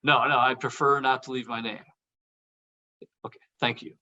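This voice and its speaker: An actor plays an evasive, jittery man in a table read. His bright, forward voice sits at a middle pitch. He stammers, restarts and trails off awkwardly. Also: little pitch movement, normal speed, dull audio. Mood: nervous, apologetic.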